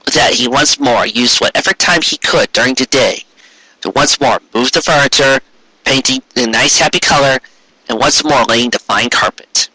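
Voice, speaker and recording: A man reading a story aloud as a dramatic narrator, with expressive emphasis and wry asides. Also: mid-range voice, moderate pace, slightly rough, dry recording, low-quality recording. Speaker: fan fiction reader.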